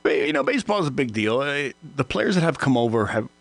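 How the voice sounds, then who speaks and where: A man in his fifties, from Cuba, chatting as a guest on a radio show, relaxed, laughing easily and stammering on his starts. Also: mid-range voice, fast talker, vocal fry, dry recording. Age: 50s. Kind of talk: casual radio interview.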